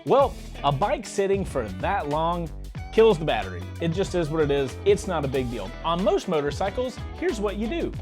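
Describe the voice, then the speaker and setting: Wry guy vlogging, lively pitch. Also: normal speed. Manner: wry, complaining, joking. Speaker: motorcycle vlogger, show host. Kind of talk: casual chatty vlog narration. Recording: background music under the voice.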